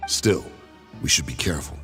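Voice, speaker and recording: A man's voice: very deep voice, lively intonation, normal speed, bright, forceful tone, faint music behind, exaggerated voice. A man voicing a grave, cautious desert mercenary in a video game.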